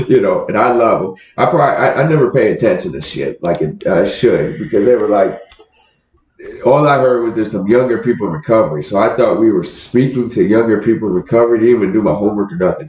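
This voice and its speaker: Low-voiced host, laid-back and swearing. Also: male voice, fairly quick, a little mellow. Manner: laid-back, swears freely, laughs between stories. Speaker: podcast host. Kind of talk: casual conversation. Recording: roomy, dull sound.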